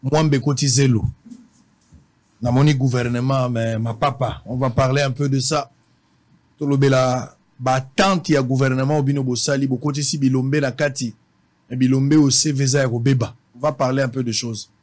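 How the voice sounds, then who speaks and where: A man's voice: medium pitch, fairly even intonation, moderate pace, darkish tone. A man with a Congolese accent hosting a casual political talk show, switching between languages as he speaks.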